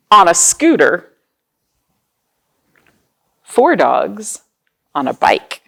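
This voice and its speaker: A woman's voice: on the low side, gravelly. A woman hosting a children's storytime, reading aloud, explaining things simply and asking the young listeners questions.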